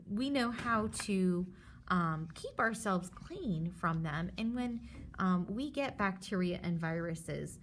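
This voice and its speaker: A woman's voice: medium pitch, a bit bright, a little hiss or hum. A woman teacher reading a children's book aloud, stopping to explain things simply and reassure her young listeners.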